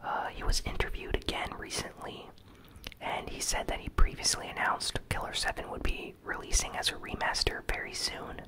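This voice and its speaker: A host whispering a casual, conversational ASMR gaming news recap in a breathy, unvoiced whisper. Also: moderate pace, rough recording.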